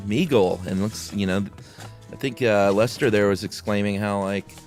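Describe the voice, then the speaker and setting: A man co-hosting a podcast, telling horror stories in an expressive, theatrical way and chatting casually. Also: lowish voice, moderate pace. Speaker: podcast co-host. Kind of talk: dramatic reading of a horror story and casual chat. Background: music.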